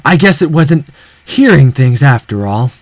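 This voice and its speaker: A man's voice: mid-range voice, very animated, measured pace, no echo. A man voicing a menacing gangster villain in a visual novel, gloating and taunting.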